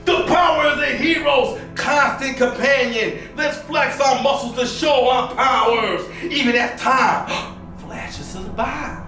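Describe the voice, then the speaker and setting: A woman with a high voice hosts storytime for young children, taking her time over the words. She is playful and exclamatory and talks straight to the kids. The sound is roomy, with music behind her. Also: slow, a bit bright, distant mic. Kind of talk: animated read-aloud for young children with sing-along.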